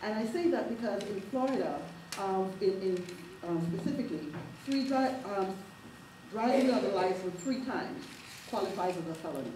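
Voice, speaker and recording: A woman's voice: medium pitch, animated, warm tone, far from the mic. Jamaican woman in a roomy hall. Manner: earnest, halting, many fillers and restarts. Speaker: civil rights advocate speaking at a town hall. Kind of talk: public speech at a town hall. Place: public meeting hall. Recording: roomy hall recorded from afar, noisy.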